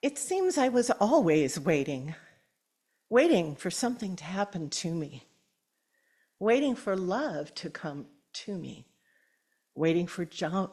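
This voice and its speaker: An older woman shares a personal story at a church gathering, gentle and given to soft laughter. Her voice sits a little low, and she takes her time, unhurried and deliberate. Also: husky. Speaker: church speaker. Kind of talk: personal story and poem reading.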